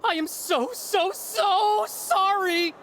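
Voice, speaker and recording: An actor playing an anxious young prince in a video game cutscene, speaking formally. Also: male voice, very high-pitched, slow pace, a bit bright, faint music behind, heavily acted. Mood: panicked, pleading. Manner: formal, self-deprecating. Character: anxious, apologetic young prince, stern when guarded.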